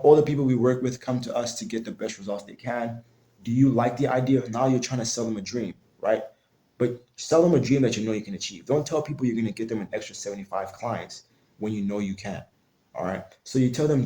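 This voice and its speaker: A man's voice: medium pitch, dark tone. A man explaining online sales tactics in a loose, conversational tutorial, often restarting his sentences. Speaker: online marketing coach. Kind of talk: casual tutorial talk. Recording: echoey room.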